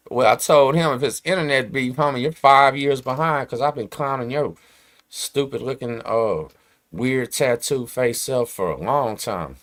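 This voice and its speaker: A man's voice: medium pitch, a bit bright. A man, hosting his channel and ranting to camera in emphatic streetwise slang. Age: 40s. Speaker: host. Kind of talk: casual rant to camera.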